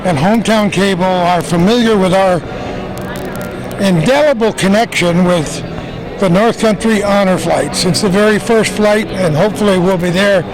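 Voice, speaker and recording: A man's voice: high voice, normal speed, over music, rough recording. An older man hosting street interviews at an outdoor event, chatty, teasing and enthusiastic. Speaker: host.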